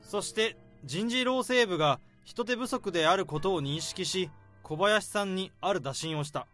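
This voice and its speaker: An actor playing an overworked male office worker laying out a case, in a radio drama, speaking calmly and methodically. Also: high voice, normal speed, faint music behind. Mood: explaining. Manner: calm, methodical explaining.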